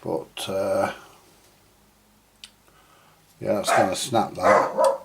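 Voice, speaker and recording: A man with a husky voice, giving informal, unscripted hands-on commentary as he mutters through a repair, now and then mildly sweary. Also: low-pitched, steady tone, very slow. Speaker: host of a vintage radio repair video.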